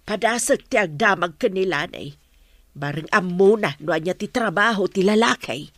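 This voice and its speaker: A woman's voice: mid-range voice, lively intonation, moderate pace, fairly bright tone, no echo. An actress with an Ilocano accent playing a blunt, gossipy mother of a grown son in a radio drama, chiding as she talks. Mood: chiding, curious.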